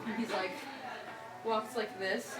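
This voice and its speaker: A woman's voice: mid-range voice, fairly even intonation, slow pace, reverberant, far from the mic, over music, low-quality recording. A young woman from Michigan telling a personal story in a chatty, giggly way, with many fillers and bursts of laughter.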